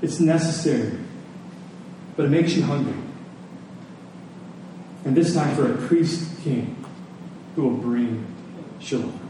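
A man's voice: mid-range voice, slow pace, long pauses. A man preaching a sermon to a congregation, using rhetorical questions and repeated phrases for emphasis. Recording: very echoey room, distant mic, faint noise.

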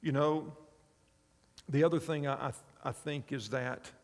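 A pastor in his fifties preaches with a gravelly, creaky voice, recounting a story earnestly and checking that his listeners follow. The sound rings out with the long reverb of a big hall. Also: on the high side, steady tone, a little slow. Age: 50s. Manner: earnest storytelling, asks the congregation along. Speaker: pastor and chaplain. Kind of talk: sermon.

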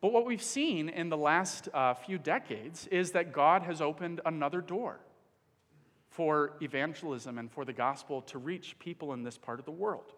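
A man's voice: on the high side, a bit bright. Man preaching in a reverberant hall. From Dominican Republic. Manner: earnest, pauses with fillers. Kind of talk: sermon.

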